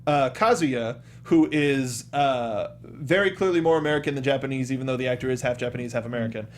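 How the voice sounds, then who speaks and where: A man hosting a casual chat show, recapping a film as an animated storyteller who acts out reactions. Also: mid-range voice, brisk. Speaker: podcast host.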